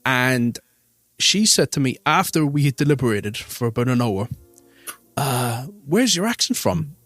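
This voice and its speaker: A man with a Cork Irish accent sits in on a relaxed film commentary, telling rambling stories and breaking into laughter. His voice sits at a middling pitch. The recording is dry and close. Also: unhurried, dry recording. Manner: chatty anecdotal storytelling, laughs often. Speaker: podcast co-host. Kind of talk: casual film commentary chat.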